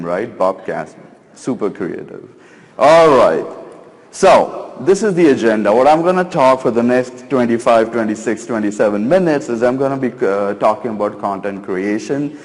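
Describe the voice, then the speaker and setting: Middle-aged male keynote speaker, lowish voice, chatty and self-mocking, reverberant hall. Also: darkish tone. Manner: chatty, self-deprecating, many fillers. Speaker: conference speaker. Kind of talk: casual keynote talk. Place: conference stage in a large hall.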